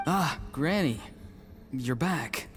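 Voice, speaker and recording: A man's voice: a little high, lively intonation, very slow. A man playing a polite, easygoing young male tribesman in a video game. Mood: mild, apologetic. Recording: game music behind the voice.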